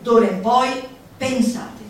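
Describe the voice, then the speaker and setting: Casting: actress as zealous female lecturer, high-pitched, theatrical, pompous and emphatic; live stage sound in a very echoey space. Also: takes it slowly, faint music behind. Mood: pompous conviction. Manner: emphatic, pompous, mock-solemn. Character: zealous female lecturer preaching gleeful laughter at misfortune. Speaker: stage performer. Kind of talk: theatrical declamation of a comic manifesto. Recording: roomy echoing live venue, distant mic, faint noise.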